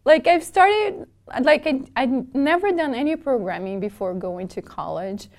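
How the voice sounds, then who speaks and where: Woman, halting friendly speech. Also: sits high, lively intonation, gravelly. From southern Brazil. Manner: hesitant, many restarts, laughs easily. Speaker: interview guest, documentation developer. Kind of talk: casual interview chat.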